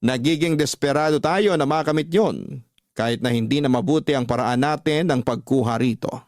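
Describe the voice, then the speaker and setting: A man's voice: medium pitch, moderate pace, a little mellow, no room sound, clean studio sound. A man, a radio host delivering heartfelt scripted advice to listeners.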